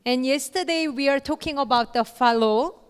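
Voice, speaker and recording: A woman giving a lecture in short, paused phrases with fillers, leaving room for an interpreter. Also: sits high, clear, resonant tone. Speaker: guest lecturer. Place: lecture hall. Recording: long hall reverb.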